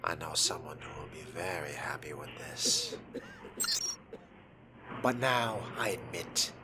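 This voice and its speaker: A man's voice: very deep voice, animated, takes it slowly, over music. A man narrating a role-playing game in character, with a pompous, disdainful manner and formal wording. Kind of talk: in-character roleplay commentary.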